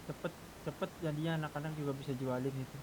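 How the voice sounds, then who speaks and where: A man's voice: on the high side, steady tone, fast talker, crowd noise, low-quality recording. A man leading a casual group discussion in an online game, talking informally. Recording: hissy gamer voice chat.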